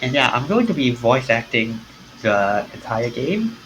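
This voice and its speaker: Bright-voiced man streaming, halting and rambling. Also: mid-range voice, sing-song, dull audio, a little hiss or hum. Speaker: game streamer. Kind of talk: casual live stream commentary.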